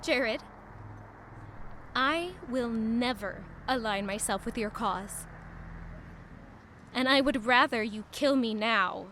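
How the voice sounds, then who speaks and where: An actress playing a defiant, wary young heroine in an audio drama, tense and resolute in dialogue and narration. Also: female voice, on the high side, slow pace, long pauses, a bit bright, a little hiss or hum.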